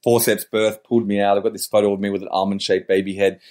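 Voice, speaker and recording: A man's voice: on the low side, level tone, fast, a little mellow. A middle-aged man talking in a wordy, searching way as a podcast guest, often correcting himself mid-sentence. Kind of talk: reflective interview talk.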